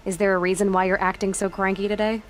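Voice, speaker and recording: A woman's voice: mid-range voice, little pitch movement, fast, a little hiss or hum, lightly acted. A woman playing a headstrong young outcast huntress in a video game, speaking directly with dry, questioning wit. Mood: wry. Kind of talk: video game cutscene acting.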